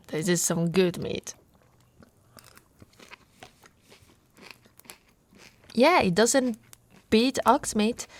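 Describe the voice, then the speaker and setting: A woman's voice: medium pitch, darkish tone, lightly acted. A woman from Italy voicing an affectionate, flirty orc warrior wife in an intimate ASMR roleplay, teasing and often dropping toward a whisper. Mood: hearty, relishing.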